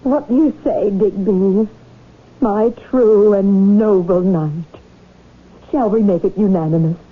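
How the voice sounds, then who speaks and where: An actress playing an earnest, emotional sweetheart heroine in a radio drama. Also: female voice, mid-range voice, slow. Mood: tender. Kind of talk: 1970s radio drama acting. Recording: muffled, phone-like 1970s radio recording.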